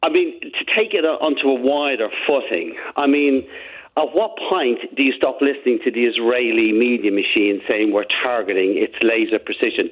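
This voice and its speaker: Brief: Irish male caller, mid pitch, tinny phone line, impassioned and rambling. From Ireland. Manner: impassioned, rambling, with frequent restarts. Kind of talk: radio phone-in call.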